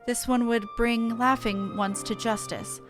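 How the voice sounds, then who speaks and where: Woman acting out an earnest high-voiced sylph over music. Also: fairly even intonation, a little slow, natural voice. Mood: solemn, confessional. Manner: stilted, formal, archaic phrasing. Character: earnest sylph, forest sprite speaking in third person.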